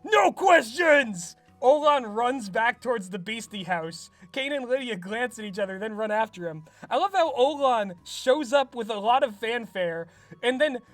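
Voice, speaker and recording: A man's voice: very high-pitched, lively intonation, normal speed, dry recording. Wry, self-mocking young man. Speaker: writer and host. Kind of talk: comedic commentary reading his old script.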